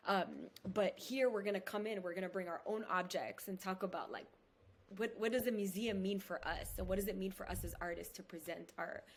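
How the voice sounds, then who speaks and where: A woman guest on a podcast video call, talking in a lively, casual way with lots of fillers. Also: mid-range voice, breathy edge. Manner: animated, fast, lots of "like". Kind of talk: casual conversation. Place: indoor room at home. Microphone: headphones on a video call.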